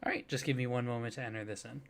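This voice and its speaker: A soft-spoken man doing an ASMR roleplay, playing a polite office clerk who talks the listener through a form. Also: medium pitch, fairly even intonation, very fast, creaky. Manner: soft-spoken, polite customer-service patter.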